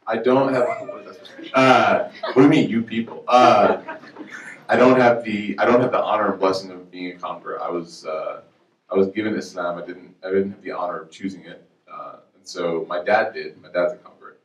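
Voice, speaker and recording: A man's voice: low-pitched, brisk, echoey room, far from the mic, slight background noise, rough recording. A man from Chicago, a guest speaker talking casually and joking with a student audience, easygoing with many fillers.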